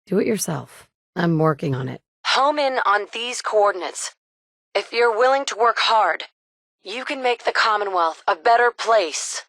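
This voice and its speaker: A woman's voice: mid-range voice, expressive, measured pace, a bit bright, no room sound. An actress voicing a resolute woman survivor in a video game, a mother searching a wasteland, terse and commanding in a dry studio recording. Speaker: player character.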